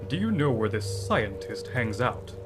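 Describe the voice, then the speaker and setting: An actor playing a determined, inquisitive drifter hero in an audio drama, plain-spoken and steady. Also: male voice, mid-range voice, unhurried, over music.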